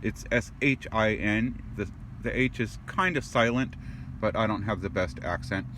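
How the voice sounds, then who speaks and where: Low-voiced man giving a tarot reading. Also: normal speed, a bit bright, a little hiss or hum. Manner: rambling, repeats and restarts words; exclaims at cards as he turns them. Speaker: tarot card reader. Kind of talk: casual tarot reading to viewers.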